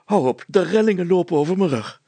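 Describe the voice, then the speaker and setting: A man voicing a nervous, excitable town mayor with a fussy, exclamatory way of talking in an audiobook story. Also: sits high, fairly quick, no room sound, pitched above the performer's own voice. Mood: nervous, agitated. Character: nervous, excitable, curious male burgomaster.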